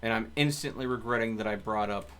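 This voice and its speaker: A man's voice: fairly low pitch, fast talker, fairly bright tone. A man doing casual live gameplay commentary as a streamer, thinking aloud and muttering to himself. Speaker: game streamer. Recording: clear background noise.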